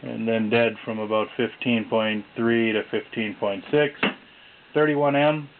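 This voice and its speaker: A man casually demonstrating a vintage radio, reading out frequencies in a halting mutter. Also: medium pitch, steady tone. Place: indoors, beside a shortwave radio on a table. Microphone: camera mic, off-screen speaker. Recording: tinny lo-fi camera audio, loud radio static. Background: shortwave radio static and stations.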